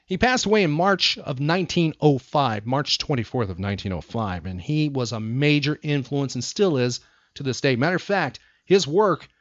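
A man hosting a podcast in casual chat, rambling and pausing for emphasis. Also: mid-range voice, lively intonation, no echo, muffled recording. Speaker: podcast host.

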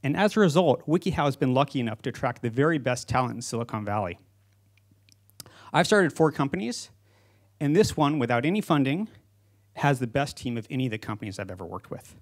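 A man's voice: mid-range voice, animated, a little fast. A man in his forties giving a prepared conference speech as a company founder, speaking into a handheld microphone on stage. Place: stage in an auditorium with seated audience.

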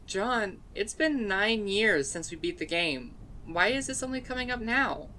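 A woman's voice: medium pitch, measured pace, a little hiss or hum. A woman playing a chatty, blunt young woman scientist who is part dog, in casual fan dub drama dialogue. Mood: thoughtful. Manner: casual, animated, exasperated at hesitation.

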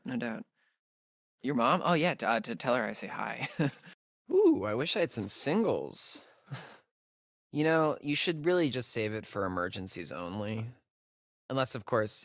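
A man's voice: medium pitch, a bit bright, no room sound, clean studio sound. A young man with an American accent acting a wisecracking, nervy camp counselor in a video game, with casual, hesitant delivery. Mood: joking, laid-back.

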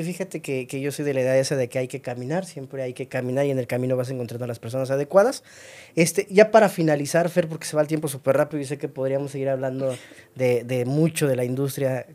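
Mexican woman podcast host, deep warm voice, friendly interviewer. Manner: friendly, quick to laugh, asks follow-ups. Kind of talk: casual interview chat.

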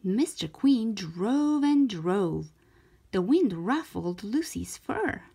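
A woman reading a storybook aloud for young children in an expressive, deliberate way, stretching out key words. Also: mid-range voice, creaky, slightly rough. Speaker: children's storybook reader, show host.

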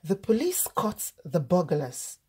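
A woman reading a children's storybook aloud, deliberately, word by word, as the host of a read-aloud. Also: on the low side, big pitch swings, dark tone, slightly rough. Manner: deliberate, word-by-word delivery for early readers.

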